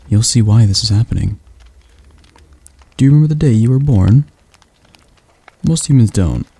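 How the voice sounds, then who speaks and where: A man voicing a calm, gentle male demon tutor in an ASMR roleplay, speaking softly and slowly with a slight stutter. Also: fairly low pitch. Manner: soft, slow, soothing delivery.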